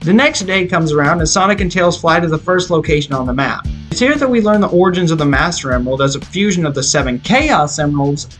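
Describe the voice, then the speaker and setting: Higher-voiced man narrating a film review. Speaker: video reviewer. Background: background music.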